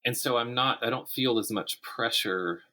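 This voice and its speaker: A man speaking as a writer guest in a conversational interview, telling things in an animated way and voicing imagined quotes. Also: medium pitch, normal speed.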